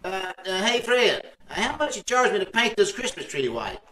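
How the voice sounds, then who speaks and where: A man's voice: high voice, very animated, moderate pace, pushed and bright, full character voice. A man playing a blustery, indignant, scheming husband in old-time radio comedy, exclaiming and stumbling over his words. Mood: impatient. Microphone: video call. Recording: roomy, distant home video-call sound.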